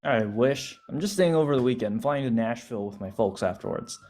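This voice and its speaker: An awkward, self-deprecating teenage boy, read by a woman at a table read. The voice is very low, yet its pitch jumps around a lot. He talks hesitantly and trips over his words. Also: animated, brisk, a little mellow.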